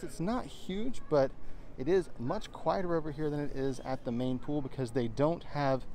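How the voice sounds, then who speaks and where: A man narrating a walking tour of a resort in a steady, informative guide manner, filmed on a handheld camera as he walks. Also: medium pitch, slight background noise. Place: outdoors and inside a resort, walking.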